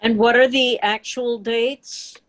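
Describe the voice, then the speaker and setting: A woman with a somewhat high voice chats informally on a video call. She sounds like the organizer of a small online club meeting. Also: fairly even intonation, takes it slowly. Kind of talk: casual chat.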